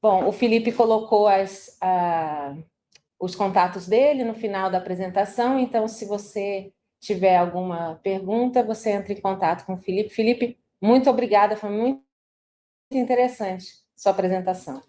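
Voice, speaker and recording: A woman moderates an online talk in a mid-pitched, relaxed voice. She hands over to speakers and fills pauses often. Her voice comes through a video call. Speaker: webinar moderator.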